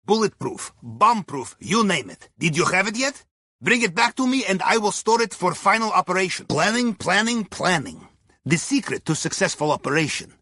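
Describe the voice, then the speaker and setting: Husky, high-pitched Russian heist planner. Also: male voice, sharp tone, dry recording, studio quality, full character voice. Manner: theatrical, clipped phrasing with dropped articles. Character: jovial, wily Russian submarine captain's heist planner. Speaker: mission guide in a video game. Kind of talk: video game character dialogue, mission briefing.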